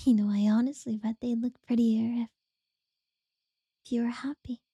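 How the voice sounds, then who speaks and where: A woman voicing an obsessive, possessive captor in a whispered audio roleplay, slow and unsettlingly sweet. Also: a little high, dry recording, clean studio sound, heavily acted. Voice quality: soft breathy whisper.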